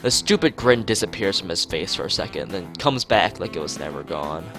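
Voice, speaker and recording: A man's voice: sits low, lively intonation, fairly quick, music in the background. A man streaming a visual novel, reading the story aloud expressively with occasional asides.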